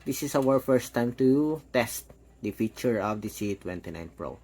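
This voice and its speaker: A man giving a casual, hands-on product demo, talking step by step in short, halting phrases. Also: mid-range voice, lively intonation, warm tone. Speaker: product reviewer.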